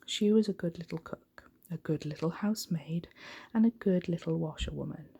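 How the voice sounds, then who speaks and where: A woman giving a soft-spoken, gentle, hushed ASMR reading of a bedtime story in a breathy, whispery voice. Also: lowish voice, moderate pace.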